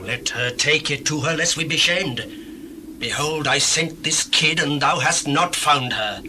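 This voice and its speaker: An actor playing grave, commanding men of the Bible in a solemn, declamatory style. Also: male voice, a little high, measured pace, pushed and bright. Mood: stern. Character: grave, commanding biblical patriarchs and upright men. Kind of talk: dramatized scripture acting. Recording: noisy older audio drama recording.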